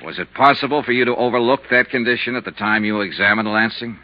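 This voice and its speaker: An American actor playing a tough, wry insurance investigator in a 1950s radio drama, clipped, pressing and dry. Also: male voice, mid-range voice, fast, close to an everyday voice. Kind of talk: 1950s radio detective acting. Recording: muffled 1950s radio recording.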